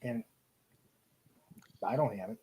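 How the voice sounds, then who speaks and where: A man from Ohio with an American accent, hosting a casual live chat and often halting as he searches for words. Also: medium pitch, wildly swooping pitch, dark tone, slight background noise. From Cleveland, Ohio, United States. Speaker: podcast host. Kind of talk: casual live chat, reading out listener comments.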